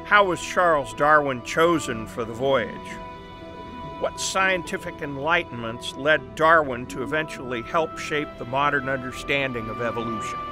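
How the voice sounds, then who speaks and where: An actor playing a brisk, persuasive newspaper boss in an audio drama, confident and explanatory. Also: male voice, medium pitch, over music, lightly acted. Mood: brisk enthusiasm. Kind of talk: family audio drama acting.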